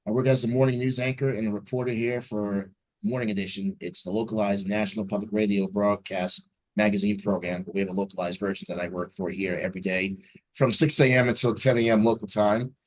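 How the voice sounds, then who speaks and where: A man's voice: fairly low pitch, fairly even intonation, fairly quick, low-quality recording. A man in his forties from New Jersey, a radio news anchor telling his story as an interview guest in an upbeat, fluent way over a video call.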